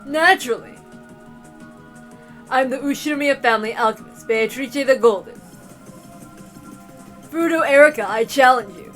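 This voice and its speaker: A woman's voice: sits high, moderate pace, pauses often, faint music behind, natural voice. A woman voicing a haughty, confident witch alchemist from a visual novel in a grand, declamatory manner. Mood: defiant. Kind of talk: dramatic visual novel character reading.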